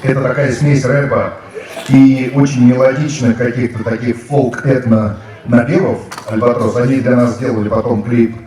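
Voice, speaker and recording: A man's voice: mid-range voice, fairly even intonation, normal speed, darkish tone. A man in his fifties telling rambling anecdotes with many fillers, speaking casually to a school audience. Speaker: guest speaker, actor. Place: large hall with students. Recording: echoey hall, mic at a distance.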